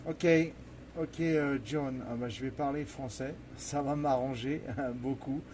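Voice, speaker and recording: A man in a casual amateur radio contact, halting and repeating himself as he searches for words. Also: medium pitch, fairly even intonation, noisy background. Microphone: stage vocal microphone at radio station.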